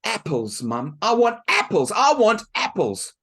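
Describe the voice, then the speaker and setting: A man storyteller voicing a spoiled, demanding young girl who whines loudly for what she wants. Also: high voice, huge pitch swings, unhurried, gravelly, breathy edge, pitched above the performer's own voice, heavily acted. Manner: whiny, insistent, loud.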